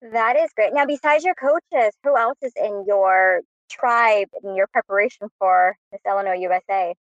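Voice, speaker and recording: A woman's voice: mid-range voice, normal speed, no room sound, lo-fi sound. A woman hosting a podcast interview, complimentary and enthusiastic. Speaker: podcast host.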